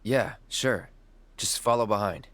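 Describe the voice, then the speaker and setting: An actor playing a cool, guarded young mercenary in a video game cutscene, speaking in terse, understated phrases. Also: male voice, on the low side, measured pace, fairly bright tone, a little hiss or hum. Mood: guarded, curt. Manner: understated, clipped.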